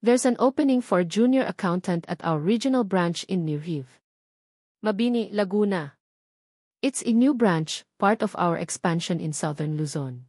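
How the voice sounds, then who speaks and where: A woman's voice: lowish voice, lively intonation, unhurried, darkish tone, no room sound, studio quality. A woman voicing a formal, businesslike female HR caller in an audio story.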